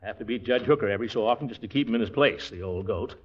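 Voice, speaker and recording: A man's voice: lowish voice, racing, breathy edge. An American man playing a pompous, flustered middle-aged uncle in a blustery, spluttering 1940s radio comedy style. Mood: reluctant. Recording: muffled, noisy 1940s radio recording.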